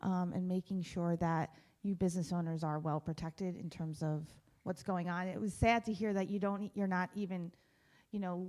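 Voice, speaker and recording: A woman's voice: on the low side, a little mellow. A woman in her forties, a lawyer and school board president, speaking earnestly to a panel. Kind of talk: casual remarks to a panel.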